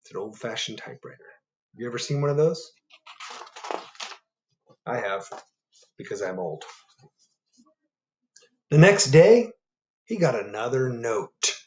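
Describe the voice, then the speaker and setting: A middle-aged man reading a picture book aloud to children at home on a webcam, animated and asking them questions. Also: mid-range voice, unhurried, pauses often, reverberant. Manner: animated, asks the young audience questions. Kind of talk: children's storytime read-aloud. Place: home living room. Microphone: webcam at desk.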